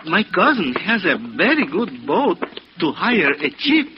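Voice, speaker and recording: An actor playing a hustling little Mexican hotel bellboy, ingratiating, with a Mexican accent and broken English, in old radio drama. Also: male voice, sits high, big pitch swings, measured pace. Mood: eager, obliging. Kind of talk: 1950s radio drama acting. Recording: noisy, dull vintage radio recording.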